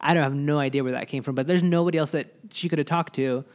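A man's voice: a little high, quick pace, clear, resonant tone. A young man from Mexico, casually chatting as a podcast co-host, telling stories with lots of fillers. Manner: relaxed storytelling with frequent "like" fillers. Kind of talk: casual chat.

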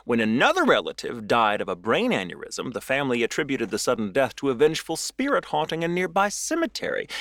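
Guy reading a creepy history story like a podcast host, very lively pitch, wordy and wry, super dry recording. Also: no echo. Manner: theatrical, wordy storyteller with wry, profane asides. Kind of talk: telling a paranormal history story from prepared notes, with casual asides.

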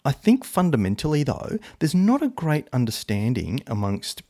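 A man's voice: medium pitch, huge pitch swings, normal speed, mellow. A man hosting a podcast interview, friendly and encouraging. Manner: friendly, encouraging, many fillers. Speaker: podcast host.

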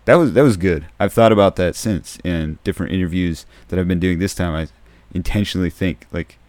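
A man hosting a podcast in a casual, soft-spoken way, with a low, dark voice that tends toward mumbling. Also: fairly quick, no echo. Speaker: podcast host. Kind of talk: casual solo podcast talk. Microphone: close podcast microphone.

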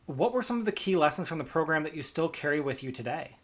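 A man's voice: a little high, expressive, fast talker. A man interviewing a guest, asking questions and giving brief encouraging replies.

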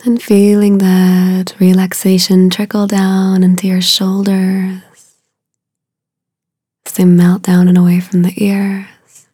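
Slow, soft woman guiding meditation. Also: medium pitch, completely flat delivery, slightly rough, no room sound, studio quality. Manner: soothing, unhurried, with long pauses between short phrases. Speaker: meditation guide. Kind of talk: guided meditation.

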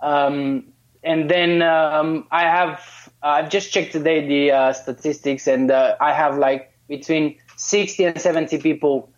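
A man's voice: fairly high pitch, steady tone, measured pace. A man in a slightly raised voice. He talks hesitantly, with many fillers, restarts and small laughs. The sound is muffled and lo-fi, like call audio. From Romania. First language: Romanian. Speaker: guest, course student. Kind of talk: casual interview.

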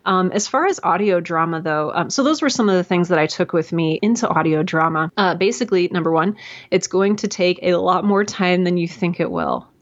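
A woman's voice: fairly low pitch, animated, a little fast, highs cut off. A woman, talking casually and self-deprecatingly as an interview guest, with lots of fillers and restarts. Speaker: podcast guest, audio drama creator. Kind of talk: conversational interview. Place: home room hung with towels.

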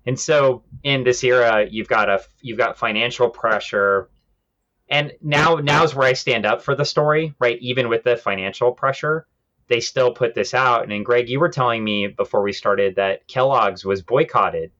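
A man's voice: mid-range voice, normal speed, a bit bright, dull audio. A man co-hosting a podcast, explaining things in a casual, conversational way. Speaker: podcast host. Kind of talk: casual discussion of comic book history.

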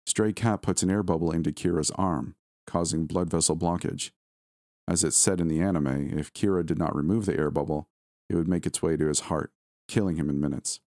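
A man's voice: deep voice, fairly quick, darkish tone, dry recording, studio quality. A man voicing a grave hospital doctor who explains things in an audio story. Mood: explanatory.

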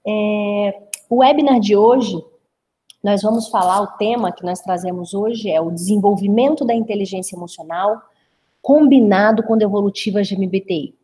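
Brazilian webinar host in her forties, husky, unhurried drawl, explains step by step. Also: medium pitch, dark tone. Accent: drawling inland Brazilian Portuguese. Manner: explanatory, measured, frequent "né?" tags. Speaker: webinar presenter, consultant. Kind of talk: online training webinar.

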